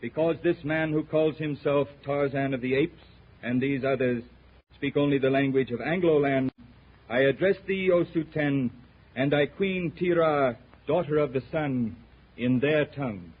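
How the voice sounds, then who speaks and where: An actor playing a solemn, loyal warrior leader in a 1930s radio serial, declaiming in archaic, formal speech. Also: male voice, medium pitch, a little slow, slight background noise, lightly acted. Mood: formal, reverent. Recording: muffled old 1930s radio recording.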